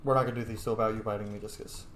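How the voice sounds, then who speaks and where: A man's voice: fairly low pitch, steady tone, rapid-fire, fairly bright tone. A man giving casual commentary on a roleplay game playthrough, reading his character's lines aloud. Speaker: gamer doing a roleplay playthrough. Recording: echoey room with faint noise.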